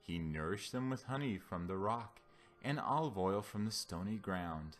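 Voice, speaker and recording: A man giving a solemn scripture reading as a Bible reader, with deliberate, declamatory phrasing. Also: low voice, expressive. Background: background music.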